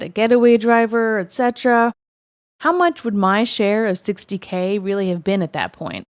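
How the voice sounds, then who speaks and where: A woman reading a first-person story aloud in a conversational storytelling style, with dramatic pauses. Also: mid-range voice, no room sound, studio quality. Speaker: host reading stories aloud.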